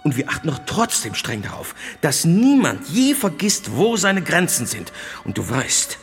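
An actor playing a strict, agitated reformist bishop in a German radio drama, speaking emphatically and with indignation. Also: male voice, fairly high pitch, sing-song, measured pace. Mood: agitated, indignant. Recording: long hall reverb, music behind.

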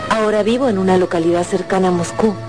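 A woman's voice: mid-range voice, steady tone, quick pace, close to an everyday voice. An actress playing a shy, genteel young married Russian lady in a radio drama, speaking in a polite, hesitant way. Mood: polite, confiding. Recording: loud music under the voice.

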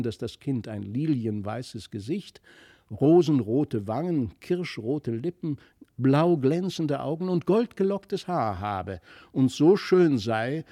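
Male storyteller for classic fairy tales, gravelly edge, expressive, very dry studio sound. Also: medium pitch, no echo. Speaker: audiobook narrator. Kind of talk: expressive fairy-tale reading.

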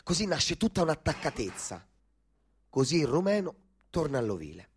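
Higher-voiced man in theatrical narration. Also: sing-song, normal speed, breathy edge. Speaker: stage actor narrating. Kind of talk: theatrical literary narration, radio play.

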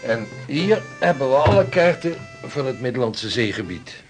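An actor playing a confident sixteenth-century navigator in a historical radio drama. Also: male voice, medium pitch, very animated, moderate pace, loud music behind the voice, lightly acted. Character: confident 16th-century navigator showing his sea charts.

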